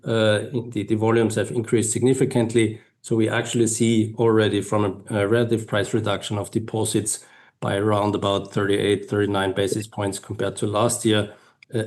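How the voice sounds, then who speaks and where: Low-voiced, halting man presenting financial results. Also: darkish tone. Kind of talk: earnings call presentation and answers.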